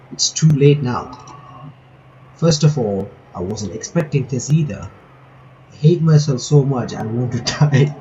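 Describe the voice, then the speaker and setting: A man with a soft, dark voice plays through a visual novel for his channel. He reads the text aloud and reacts informally between lines. The recording is slightly dull, with faint noise underneath. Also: medium pitch. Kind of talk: casual playthrough commentary, reading game text aloud. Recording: muffled lo-fi mic with faint noise.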